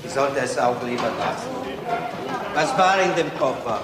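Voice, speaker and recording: An actor playing a stern, questioning police official in a crime radio drama. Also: male voice, medium pitch, lively intonation, normal speed, natural voice. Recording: echoing hall with loud crowd.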